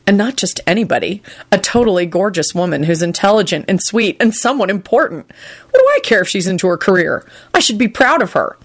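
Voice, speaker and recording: A woman voicing an excitable, stubborn young man in love in an audio story, blurting his words out emphatically. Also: mid-range voice, quick pace. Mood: ecstatic.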